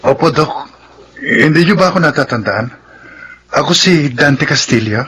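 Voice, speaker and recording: A man's voice: on the high side, expressive, normal speed, sharp tone, slight background noise. An actor playing a polite, anxious male patient in a Filipino radio drama.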